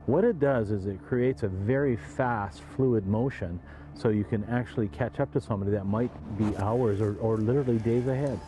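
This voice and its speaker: Low-voiced man explaining in an interview. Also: moderate pace, mellow, soft background music. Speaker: search and rescue tracking expert.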